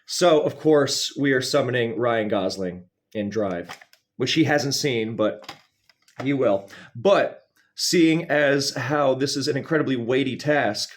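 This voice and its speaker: A man hosting a casual, rambling comedic video, speaking in a halting, digressive way with wry, mock-serious grand phrasing. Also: medium pitch. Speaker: video host.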